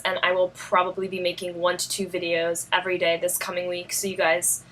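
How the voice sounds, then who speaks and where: A young woman with a New York accent talks straight to her viewers in a personal vlog. Her voice sits at a middle pitch, and her delivery is earnest and unpolished. She often pauses and restarts while searching for the right words. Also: a bit bright, rough recording. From Russia. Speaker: vlogger.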